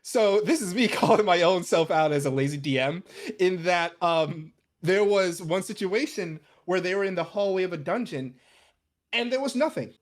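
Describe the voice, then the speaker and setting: A podcast co-host in casual comedic chat, telling stories in an animated, emphatic way. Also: medium pitch, normal speed. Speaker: podcast co-host and game master.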